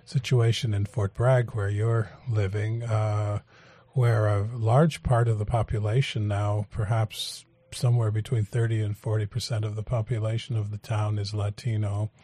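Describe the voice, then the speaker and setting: A male radio talk show host, interviewing guests in a measured, halting way with frequent fillers. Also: fairly low pitch, flat delivery, dark tone, breathy edge, no echo. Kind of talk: public affairs interview.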